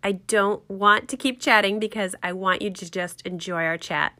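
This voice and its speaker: A woman's voice: mid-range voice, steady tone, moderate pace, bright, forceful tone. A woman hosting a podcast, talking in an upbeat, enthusiastic way and interviewing a guest. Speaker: podcast host.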